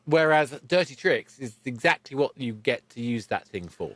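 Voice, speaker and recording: A man's voice: mid-range voice, lively intonation, moderate pace, dry recording. Give me a male podcast host, dry close sound. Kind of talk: conversational interview, explaining.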